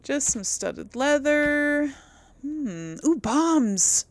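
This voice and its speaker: A woman's voice: sits high, very animated, drawn-out words, dry recording. A woman giving casual, chatty gameplay commentary as host, musing aloud and often trailing off. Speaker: gaming video host.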